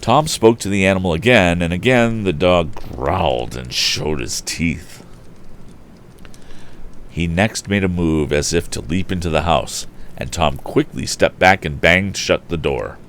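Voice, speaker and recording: A man reading an adventure story aloud in an expressive storyteller's style. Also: low-pitched, unhurried, a little hiss or hum. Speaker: storyteller, livestream host.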